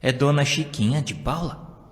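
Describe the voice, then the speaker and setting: A Brazilian man voicing a gentle, patient spiritist medium who speaks calmly and reassuringly in a story. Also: mid-range voice, a little slow, voice pushed up, close to an everyday voice. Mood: calm assurance. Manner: calm, reassuring. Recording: long hall reverb, music behind.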